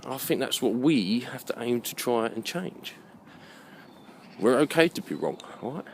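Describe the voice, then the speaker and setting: A man's voice: medium pitch, big pitch swings, a little slow, a little hiss or hum. A British man giving a rambling, opinionated monologue, emphatic and fond of rhetorical questions. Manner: emphatic, rhetorical questions, checks listener understands. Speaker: vlogger.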